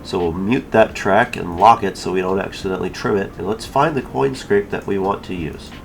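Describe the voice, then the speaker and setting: Low-voiced man narrating a tutorial, noisy background. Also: brisk, creaky. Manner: informal, step-by-step explaining. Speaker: tutorial host. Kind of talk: casual screen-recorded software tutorial narration.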